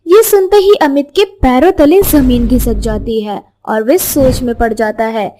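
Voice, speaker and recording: A woman's voice: high-pitched, measured pace, mellow, slight background noise. A woman narrating a scripted moral story as its storyteller.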